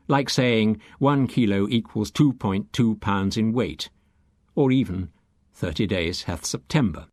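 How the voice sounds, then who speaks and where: Low-voiced man reading an audiobook, dry sound. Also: vocal fry, no echo. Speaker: audiobook reader. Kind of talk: scripted audiobook reading, lecture-like exposition.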